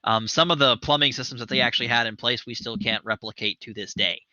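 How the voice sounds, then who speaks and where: A man's voice: medium pitch, quick pace, pushed and bright, no echo. A man in his thirties hosting a livestream chat, talking eagerly and at length, with fillers and swearing for emphasis. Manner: enthusiastic, rambling, swears for emphasis. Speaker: show host. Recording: dry, slightly dull webcast audio.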